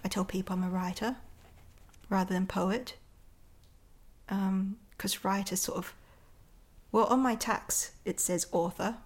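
A woman's voice: mid-range voice, steady tone, measured pace. A woman poet talking casually and reflectively in an interview, in an understated, tentative way. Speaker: poet and author being interviewed.

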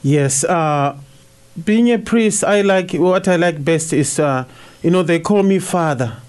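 A middle-aged priest from Zimbabwe whose first language is Shona speaks in a fairly high voice for a man. He answers in a thoughtful, halting way, full of uhs and restarts. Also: a little mellow, clean tone. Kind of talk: radio interview answers.

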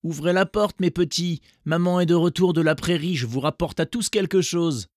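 A man's voice: a little high, normal speed, a little mellow, no room sound, studio quality, pitched above the performer's own voice, lightly acted. A man doing a sly wolf faking sweetness. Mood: wheedling. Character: sly hungry male wolf in disguise.

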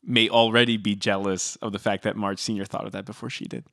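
A man co-hosting a podcast, giving measured, dry takes in casual chat. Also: lowish voice, level tone, creaky, fairly bright tone, dry recording. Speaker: podcast co-host.

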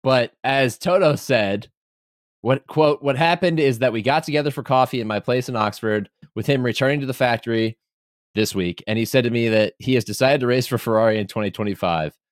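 A man's voice: mid-range voice, brisk, no room sound, studio quality. A man co-hosting a motorsport podcast, chatting casually and joking with lots of laughs. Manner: animated, laughs often, jokey asides. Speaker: podcast co-host. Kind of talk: casual chat.

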